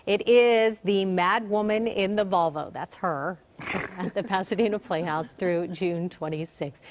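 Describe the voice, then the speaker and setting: A woman's voice: mid-range voice, unhurried, slight background noise. A woman hosting a broadcast interview in a chatty, informal and encouraging way. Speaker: TV news host, interviewer.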